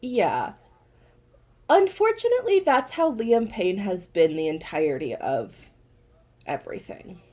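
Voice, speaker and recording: A young woman co-hosting a podcast, chatting casually with quick asides and self-corrections. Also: medium pitch, big pitch swings, mellow. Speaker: podcast co-host. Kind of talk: casual chat.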